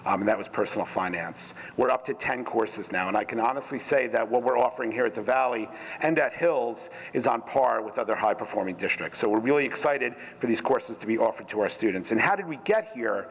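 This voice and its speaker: A middle-aged man supervising a school social studies department, addressing the school board in a loud voice and pausing often with ums. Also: medium pitch, slightly rough. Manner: loud voice, hesitant with frequent ums. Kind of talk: formal presentation to a school board. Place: school meeting hall. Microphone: room microphone. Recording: reverberant hall with faint noise.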